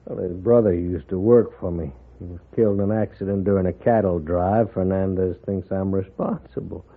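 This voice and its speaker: Wealthy Western rancher: American, cordial yet stubborn, husky delivery, thin old radio broadcast sound. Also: male voice, sits low, fairly even intonation, normal speed. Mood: aggrieved, insistent. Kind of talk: 1950s Western radio acting. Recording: narrow-band 1950s radio recording.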